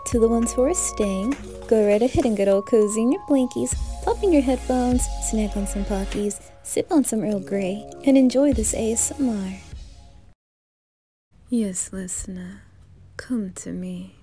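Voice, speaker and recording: A woman's voice: on the high side, normal speed, few pauses, dark tone, soft background music. A woman reading a scripted introduction as an ASMR creator, addressing her listeners.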